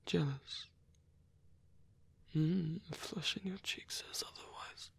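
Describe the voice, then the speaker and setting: Husky, whispering old male vampire, acted by a man. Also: on the high side, heavily acted. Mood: flirtatious, teasing. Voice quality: breathy, husky half-whisper. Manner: slow, halting, softly teasing. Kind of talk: ASMR roleplay.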